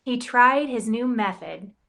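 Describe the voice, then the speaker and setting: A woman who directs campus ministry reads a rhyming picture book aloud, playful and expressive, giving the quoted lines a voice of their own. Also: fairly high pitch, slow pace, vocal fry. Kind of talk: storybook read-aloud in rhyme.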